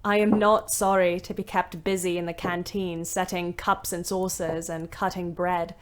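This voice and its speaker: A young woman ambulance driver played by an actress narrates her war diary in an audio play. Her voice sits a little low, and her delivery is dry and wry. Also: flat delivery, normal speed, slight background noise, natural voice.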